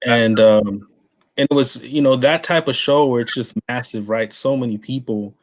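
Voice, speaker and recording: A man's voice: medium pitch, normal speed, rough recording. A man in his forties from Texas hosting a podcast, asking questions in an eager, slangy, friendly way. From San Antonio, Texas. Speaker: podcast host. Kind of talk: casual interview questions.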